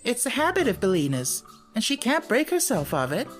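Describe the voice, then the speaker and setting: A man's voice: very high voice, huge pitch swings, a little fast, faint music behind, voice pushed up, heavily acted. A man voicing a matter-of-fact, plain-spoken young girl in an audiobook. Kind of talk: audiobook character voice.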